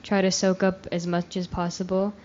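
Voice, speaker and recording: Modest young woman with a lower voice. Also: monotone, normal speed. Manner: shy, modest, laughs softly. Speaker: youth retreat participant. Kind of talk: casual self-introduction. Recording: dull lo-fi recording with faint noise.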